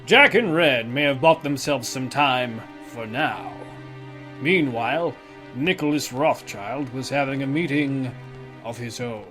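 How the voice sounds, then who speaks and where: A man's voice: medium pitch, unhurried, fairly bright tone. A man narrating an audio drama serial in a dramatic, scripted style. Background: guitar music.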